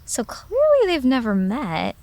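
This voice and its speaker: Woman voicing a lively, high, inquisitive fox. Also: unhurried, heavily acted. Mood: puzzled, probing. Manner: questioning, incredulous. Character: earnest, inquisitive, stubborn small fox. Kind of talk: audio drama character acting.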